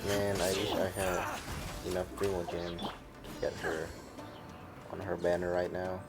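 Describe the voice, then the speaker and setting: A man's voice: sits low, little pitch movement, measured pace, over loud music. A man giving casual gameplay commentary as a streamer. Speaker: game streamer.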